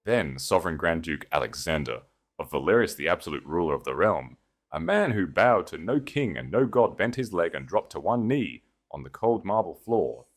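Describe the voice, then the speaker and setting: A man reading scripted dramatic fiction as narrator, in a measured, solemn and theatrical manner. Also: low voice, sing-song.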